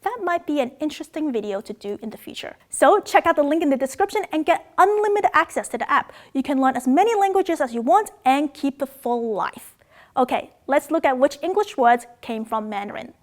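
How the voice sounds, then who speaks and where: A woman from Macau, raised in Australia, narrates a scripted lesson on word origins. Her voice sits high and she delivers it in an upbeat, playful way, with sudden excited jumps in pitch. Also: a little fast. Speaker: language video host.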